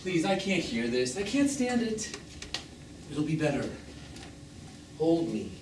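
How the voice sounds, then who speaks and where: Defensive, pleading lover, animated, echoey. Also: male voice, on the high side, slow. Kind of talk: live one-act stage drama acting. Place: small theatre stage, recorded from afar. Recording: distant noisy roomy theatre stage recording.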